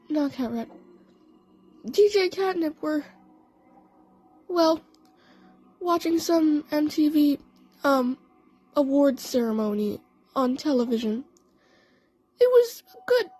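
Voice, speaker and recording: A performer voicing a female cartoon cat scientist telling a story in a halting, rambling way, in a fan audio play. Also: very high-pitched, a little mellow, exaggerated voice. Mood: reminiscing, halting.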